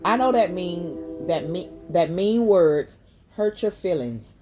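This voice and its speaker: A woman voicing a gentle, patient mother explaining things in a children's storybook. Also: on the low side, animated, slow pace. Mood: comforting. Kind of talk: storybook character voice.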